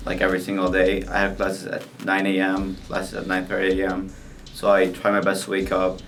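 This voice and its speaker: A man's voice: sits low, fairly bright tone, slight background noise. A nineteen-year-old man, a student, answering earnestly and hesitantly with many ums. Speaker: student interviewee. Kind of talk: casual street interview.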